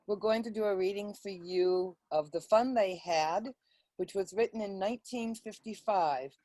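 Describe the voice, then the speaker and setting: A woman hosting a reading club, introducing in a friendly, measured way. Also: on the low side, dark tone, no room sound, rough recording. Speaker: host. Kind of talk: reader's theater introduction.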